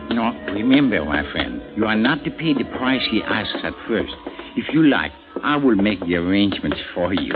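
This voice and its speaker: An actor with a French accent playing a sly, fawning little Parisian hustler who speaks halting, obsequious English in a 1950s radio drama. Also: male voice, fairly low pitch, sing-song. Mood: conspiratorial. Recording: dull 1950s radio broadcast with music.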